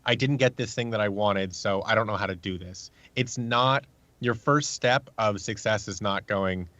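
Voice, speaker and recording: A man's voice: fairly low pitch, moderate pace, a bit bright, no echo. A man giving casual, earnest advice through anecdotes as a podcast guest, acting out the remarks he quotes. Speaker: podcast guest, actor and producer. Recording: dull lo-fi audio.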